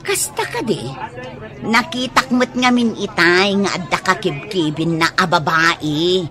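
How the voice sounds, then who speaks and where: Nosy, animated gossip. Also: female voice, lowish voice, measured pace. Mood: gossiping, insinuating. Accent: northern Philippine. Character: nosy, gossiping woman acquaintance. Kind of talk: radio drama acting. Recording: music and crowd noise behind.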